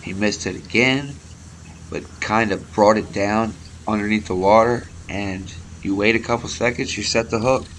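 A man filming himself fishing from a kayak on a pond, giving relaxed play-by-play to his viewers on an action camera outdoors. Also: fairly low pitch, moderate pace, slight background noise, low-quality recording. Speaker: fishing vlogger. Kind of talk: casual fishing commentary.

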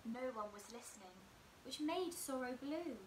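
A woman in her twenties reading a children's storybook aloud as host, in an animated, exaggerated storytelling delivery, in a room at home. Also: high-pitched, a little slow. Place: small indoor room at home. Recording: roomy echo, clear background hiss.

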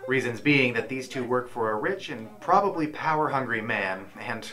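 A man's voice: medium pitch, moderate pace, sharp tone, close to an everyday voice. A man playing a sarcastic, worried inner voice that nags, in a comedic audio drama.

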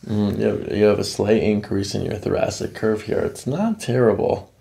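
A man's voice: on the low side, fairly even intonation, normal speed, creaky, dark tone, a little hiss or hum. A middle-aged man working as a chiropractor, talking through a clinical exam in a matter-of-fact way with clipped questions. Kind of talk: clinical consultation and exam commentary. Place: indoor chiropractic office. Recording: distant mic in an office.

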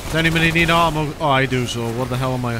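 A man's voice: medium pitch, racing, noisy background. A man playing a co-op video game, chatting casually and reacting with exclamations and swearing. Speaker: video game player in a co-op playthrough. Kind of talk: casual gaming chat, reacting to play. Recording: game music behind the voice. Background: video game music.

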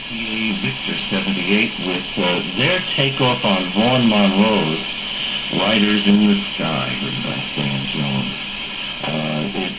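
A man's voice: low voice, slow, roomy sound, muffled recording. A man presenting records on music radio, heard as a shortwave broadcast through a receiver speaker.

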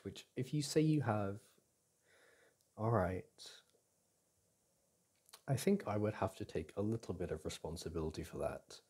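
Doting boyfriend, male actor, ASMR roleplay: soft, breathy, dark-toned, unhurried, tender and reassuring. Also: on the low side. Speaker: audio roleplay performer.

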